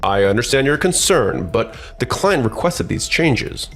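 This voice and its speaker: A man's voice: mid-range voice, sing-song, unhurried, a bit bright, faint music behind. A man playing a scheming, self-important office manager with pushy corporate formality in a manga dub. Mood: assured. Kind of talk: scripted manga dub acting.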